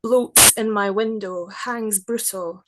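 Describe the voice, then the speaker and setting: A woman poet speaking in a deliberate, careful, literary way, as in a reading of her own work. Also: medium pitch, steady tone, heavy background noise. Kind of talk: measured poetry reading and reflective explanation.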